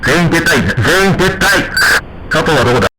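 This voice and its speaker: A man's voice: fairly high pitch, lively intonation, moderate pace, close to an everyday voice. A man playing a military squad leader, speaking in clipped, urgent radio reports. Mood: alarmed, defiant. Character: defiant male armed squad leader on radio. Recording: very noisy radio transmission.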